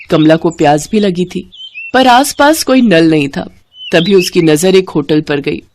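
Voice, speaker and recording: A woman's voice: fairly low pitch, a little mellow, slight background noise. A woman narrating a scripted moral story as the storyteller.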